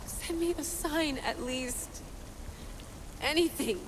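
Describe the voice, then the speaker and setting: A woman's voice: sits high, slow pace, background noise. A woman playing a defiant, headstrong young female assassin with emotional intensity in a video game cutscene. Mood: frightened, pleading.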